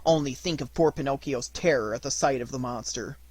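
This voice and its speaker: A man reading a children's storybook as an audiobook narrator, in a dramatic, deliberate manner. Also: medium pitch, darkish tone, breathy edge, no echo.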